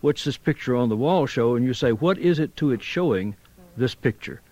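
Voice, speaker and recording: A male linguistics professor, a guest on talk radio, who explains in a halting, scholarly way with examples. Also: mid-range voice, lively intonation, mellow. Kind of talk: radio interview. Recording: dull 1990s radio broadcast.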